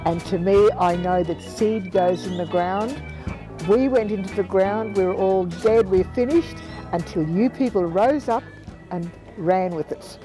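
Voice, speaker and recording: Elder Australian female, 70s, mellow and plain-spoken interviewee, faint music underneath. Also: mid-range voice, a little slow, low-quality recording. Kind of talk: reflective interview storytelling. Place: outdoors on a planted patio. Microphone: lapel mic.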